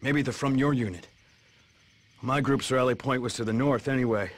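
An actor playing a plain-spoken, weary American glider pilot with a husky voice in a video game cutscene. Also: male voice, medium pitch, moderate pace, lightly acted. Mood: relieved, practical.

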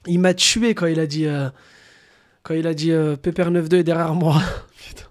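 A young man doing a casual live stream of crude prank calls, animated, slangy and prone to swearing. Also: on the high side, normal speed. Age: young adult. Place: small room at home.

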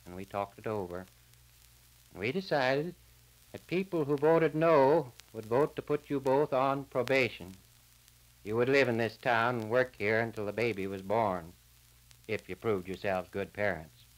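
An actor playing an earnest small-town man delivering a verdict in a measured, plain-spoken way, on a crackly 1940s radio drama disc. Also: mid-range voice, close to an everyday voice. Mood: solemn, reasoning.

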